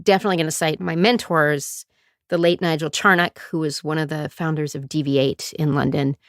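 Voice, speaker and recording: A woman hosts her podcast in a chatty, rambling way, poking fun at herself and laughing often. Her voice sits a little low. It is recorded close and dry. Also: fairly even intonation, a little fast, no echo. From Calgary, Canada. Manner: chatty, self-deprecating, digressive, laughs often. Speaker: podcast host and performer. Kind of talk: casual solo podcast monologue.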